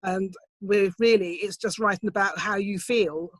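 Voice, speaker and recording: A middle-aged woman speaks over a video call in a measured, thoughtful way, often pausing to gather her words. Her laptop mic sounds dry, with no room around her. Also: mid-range voice, steady tone, dry recording. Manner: thoughtful, measured, with soft hesitations. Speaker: poet, competition winner. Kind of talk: online interview, reflective answers. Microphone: computer mic on a video call, set quiet.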